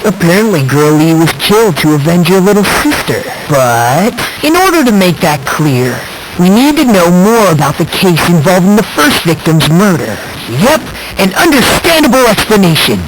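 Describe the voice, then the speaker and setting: High pitched anime game teen boy, polite but creepy hope fanatic, very swingy delivery, noisy audio. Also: sing-song, moderate pace. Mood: calm, musing. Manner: polite, musing, theatrical. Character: soft-spoken teenage boy, politely unsettling, fervently hopeful. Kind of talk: anime video game voice acting.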